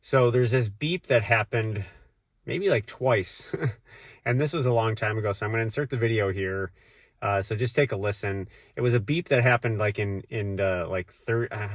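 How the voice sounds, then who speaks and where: Guy vlogging with a cold, low voice, dry close sound, rambles with lots of ums. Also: no echo. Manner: rambling, with many fillers and restarts. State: has a cold, voice deeper than usual. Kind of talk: casual vlog talk.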